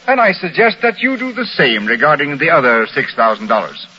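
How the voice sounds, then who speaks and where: An actor playing a pompous military-minded swindler in an old radio crime drama, speaking in formal, wordy phrases. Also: male voice, a little high, very animated, fairly quick. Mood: smug, unruffled. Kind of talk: 1940s-50s radio crime drama acting. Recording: muffled, faintly noisy old radio recording.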